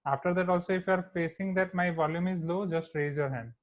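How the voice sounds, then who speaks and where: An Indian man teaches a live online maths class, switching between English and Hindi and asking students to respond in the chat. His voice sits a little high. The sound is echoey and thin, like a phone line. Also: steady tone, fast. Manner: code-switching, checks in with students often.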